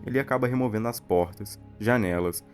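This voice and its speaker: A man's voice: lowish voice, a little fast, darkish tone, soft background music. A man with a Brazilian accent, telling a horror story as scripted narration for an explainer video.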